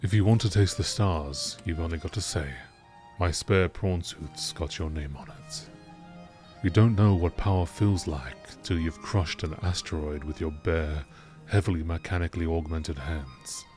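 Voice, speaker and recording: A man's voice: low-pitched, a little slow, over music. A man voicing a cocky, chummy space rig worker from a game message. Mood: chummy boasting. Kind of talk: reading a game message in character.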